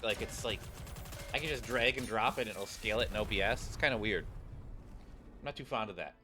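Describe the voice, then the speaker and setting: A man's voice: on the low side, a little fast, pushed and bright, faint music behind. A man giving casual, joking live commentary as a game streamer, in a rambling, offhand way. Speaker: streamer reacting to game cutscenes.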